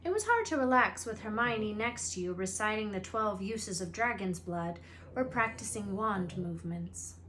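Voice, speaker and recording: A woman's voice: medium pitch, expressive, normal speed, fairly bright tone, low-quality recording. Woman reading a children's story aloud. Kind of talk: expressive children's book narration. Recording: faint background noise and ambience.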